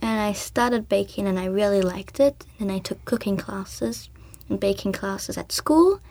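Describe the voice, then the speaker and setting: An eleven-year-old girl from Belgium talks through a baking class, hesitant and chatty, filling her sentences with ums. Her voice sits at an ordinary pitch for a young female speaker. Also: a little slow, a little mellow. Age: 11 years old. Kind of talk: casual workshop instruction. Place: community hub with children.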